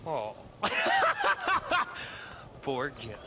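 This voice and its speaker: An actor playing a resentful, mocking outlaw gang leader in a dramatic Western video game. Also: male voice, on the high side, wildly swooping pitch, drawn-out words, heavy background noise, heavily acted. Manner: mocking, theatrical, breaking into derisive laughter. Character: jeering, resentful male outlaw gang leader in the Old West.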